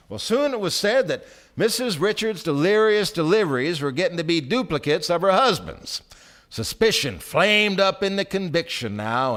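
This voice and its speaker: An actor playing a wry storyteller, narrating a radio drama on stage with theatrical emphasis and measured pauses. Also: male voice, on the high side, fairly bright tone. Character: wry, dramatic storyteller of a small-town tale. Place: theatre stage before a live audience.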